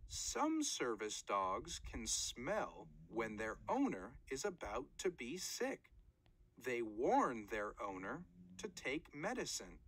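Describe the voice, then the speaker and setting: Woman, children's nonfiction read-aloud, strongly swooping intonation, slightly husky, unhurried careful phrasing. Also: very deep voice, wildly swooping pitch.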